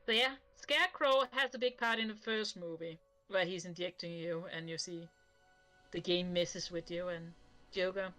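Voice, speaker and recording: Bright-voiced Danish woman, gushing. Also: lowish voice, moderate pace, soft background music, low-quality recording. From Denmark. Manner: enthusiastic and chatty, swears casually. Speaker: stream guest. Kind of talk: casual chat.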